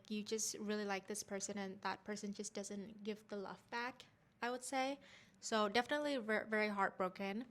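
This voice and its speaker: A woman's voice: medium pitch, a bit bright. A young woman hosting her own channel, chatting in an upbeat way and talking straight to her viewers. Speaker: vlog host, wife. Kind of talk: casual story time chat.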